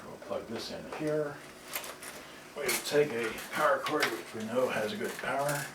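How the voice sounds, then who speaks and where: A man giving a casual, unscripted demonstration, talking himself through it step by step in a halting way. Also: mid-range voice, very noisy. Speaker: repairer demonstrating serviced cassette players. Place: indoors in a house. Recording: roomy, distant mic, loud music behind.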